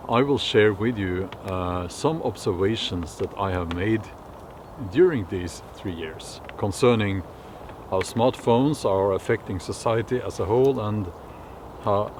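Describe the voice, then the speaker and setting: A middle-aged Norwegian man talking casually to camera outdoors in the woods, unhurried and thoughtful, pausing with many fillers and restarts. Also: on the low side, noisy background. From Norway. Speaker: vlogger.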